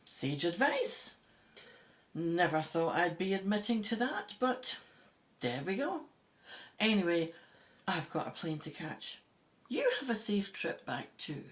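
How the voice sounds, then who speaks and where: A woman's voice: fairly low pitch, sing-song, takes it slowly, long pauses. A woman playing a spirited, wry, plain-spoken Egyptologist in an audio drama. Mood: weary, wry.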